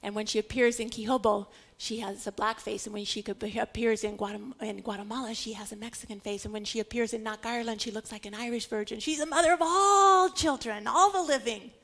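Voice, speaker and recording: A woman's voice: a little high, brisk, few pauses, a bit bright. A woman preacher giving a sermon that teaches Bible history, stressing key words dramatically and putting rhetorical questions to listeners.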